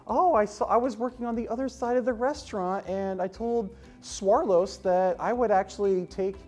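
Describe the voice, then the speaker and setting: A man's voice: high-pitched, normal speed, darkish tone, soft background music, pitched above the performer's own voice, close to an everyday voice. A man voicing a young waitress ex-girlfriend who is overly familiar, gushing and dramatic, in a comic story. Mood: fake cheery. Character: chirpy, fake-friendly, then indignant ex-girlfriend, a young waitress.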